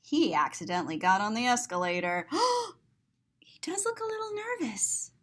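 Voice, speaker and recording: A woman reading a children's story aloud and describing the pictures, expressive and explanatory. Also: fairly high pitch, normal speed.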